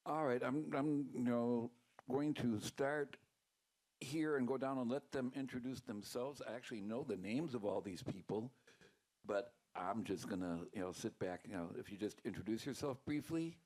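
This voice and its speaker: A man moderating a community panel, relaxed and chatty. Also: mid-range voice, moderate pace. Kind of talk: casual moderating.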